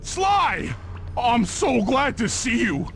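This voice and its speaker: An actor playing a big, dim, lovable hippo bruiser in a video game, childlike and bombastic. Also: male voice, very high-pitched, big pitch swings, takes it slowly, heavily acted. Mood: relieved, pleading. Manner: childlike, bombastic, third-person boasting. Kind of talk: animated video game cutscene acting. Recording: loud music under the voice. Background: game music.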